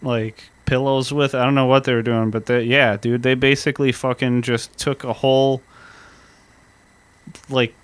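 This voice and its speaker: A man co-hosting a casual history podcast, talking earnestly and reading historical accounts slowly. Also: medium pitch, little pitch movement, smooth, dry recording. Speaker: podcast co-host.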